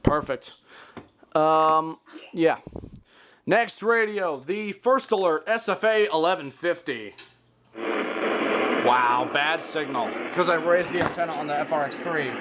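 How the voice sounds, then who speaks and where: A man's voice: on the high side, slow, long pauses. A man casually showing off a hobby collection in an offhand, unscripted vlog style. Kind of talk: casual show-and-tell vlog. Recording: loud background noise, clicks.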